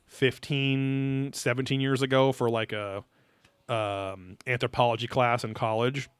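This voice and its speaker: A man in his thirties talks as a podcast host in a loose, rambling recap style. His medium-pitched voice is recorded dry and close, and he swears casually as he goes, slipping into theatrical readings of quotes. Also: level tone, measured pace, clean tone, dry recording. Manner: rambling, profane, reads quotes aloud theatrically. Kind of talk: casual episode recap chat.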